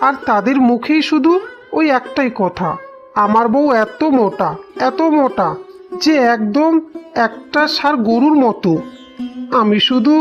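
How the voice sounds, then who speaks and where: Cartoon husband played by a woman: high-pitched grown son, sullen and aggrieved, dull lo-fi sound. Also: animated, a little slow, pauses often. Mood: embarrassed complaint. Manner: complaining, sullen. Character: weary, aggrieved adult son, embarrassed village husband. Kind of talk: Bengali cartoon moral story acting. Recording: dull lo-fi sound, music behind.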